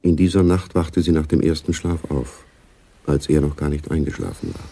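A man narrating a German radio play as a sober, observant storyteller, with a dark, husky, gravelly voice. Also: a bass voice, moderate pace, exaggerated voice.

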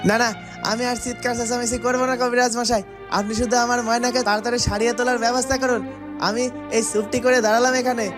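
A simple-hearted cartoon village lad with a very high, strained-up voice. He pleads frantically and excitably, with music behind him. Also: fast. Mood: frantic, pleading.

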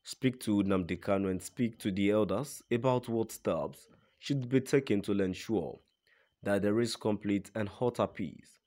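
A man's voice: on the low side, a little slow, a little mellow. A man narrating a scripted news report in a formal read-aloud manner.

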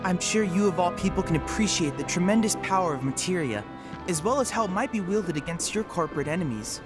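High-pitched, precise boyish research intern. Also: male voice, lively intonation, fast talker, over music. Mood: formal, analytical. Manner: formal, precise, analytical. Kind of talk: video game character acting.

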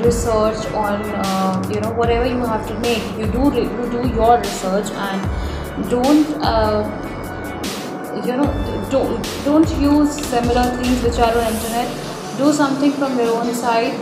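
A young woman, casually talking viewers through a design tutorial with many fillers and restarts. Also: on the high side. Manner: chatty, direct address with many fillers and restarts. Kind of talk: casual screen-recorded tutorial. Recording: roomy voice-over with loud background music.

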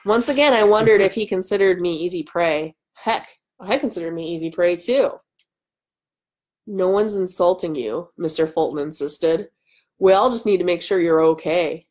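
A woman, giving an animated live reading of a story in a small room at home. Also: lowish voice, normal speed. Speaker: author and host. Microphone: webcam mic at arm's length.

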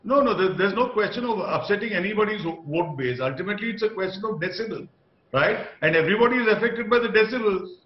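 A man's voice: sits high, animated, quick pace. A middle-aged man with an Indian accent, a party spokesperson arguing in a TV debate with emphatic repetition and rhetorical questions. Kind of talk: TV debate argument. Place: small room, indoors, on video call. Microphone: video call. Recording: dull, echoey video-call sound.

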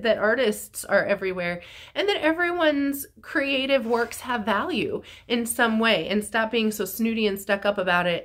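A woman's voice: a little high, fairly bright tone. Chatty woman sounding congested and nasal. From North Carolina, USA. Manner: chatty, rambling with many ums and likes. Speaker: artist vlogger. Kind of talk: casual chat to camera. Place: small room at home, indoors. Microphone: phone camera at arm's length.

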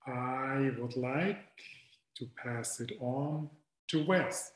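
Slow, earnest Swiss man in an echoey room. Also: medium pitch. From Switzerland. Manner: earnest and reflective, trails off at phrase ends. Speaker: faculty member. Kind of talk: recorded video invitation. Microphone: video call webcam.

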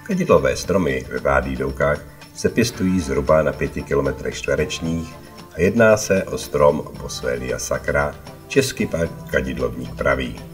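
A man with an extremely deep, low voice narrates a travelogue as the vlog's storyteller. He reads scripted narration over clearly audible background music.

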